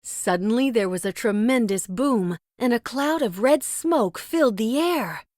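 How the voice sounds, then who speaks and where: Female storybook narrator: higher register, very lively intonation, dramatic read-aloud delivery, dry studio-like sound. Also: a little slow, no echo.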